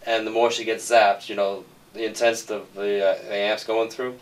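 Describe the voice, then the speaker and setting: A man's voice: on the low side, level tone, normal speed. A middle-aged man explaining a film plot in conversation as its screenwriter. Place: indoors on a sofa, group interview. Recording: distant mic with background noise.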